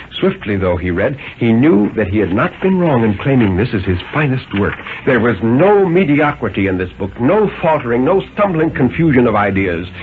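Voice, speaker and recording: A man narrating a story as a 1950s radio dramatic reading, in a theatrical, measured, suspenseful manner. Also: medium pitch, narrow, tinny audio. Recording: thin, band-limited 1950s radio recording with music.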